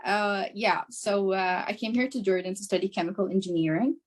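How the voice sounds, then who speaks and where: Young female guest, native Arabic speaker from Syria, earnest and eager, mid-pitched, video call. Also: brisk. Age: young adult. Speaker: guest speaker, chemical engineer. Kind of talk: casual conversational answer.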